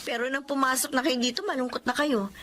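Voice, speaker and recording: A woman's voice: sits high, fairly even intonation, fast talker, fairly bright tone, a little hiss or hum. A woman playing a caring, plain-spoken daughter-in-law of a poor family in a Filipino radio drama. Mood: concerned.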